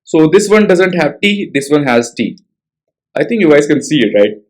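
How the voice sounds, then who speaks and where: A man's voice: a little high, big pitch swings, normal speed. A young man with an Indian English accent walking through a coding tutorial, talking casually and checking in with frequent tag questions. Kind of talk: screen-share coding tutorial.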